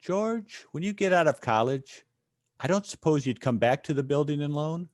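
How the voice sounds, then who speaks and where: A man playing a tired, gentle, principled father in a radio play, measured and wistful. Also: on the high side, animated, dry recording, clean studio sound, natural voice. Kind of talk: old-time radio play acting.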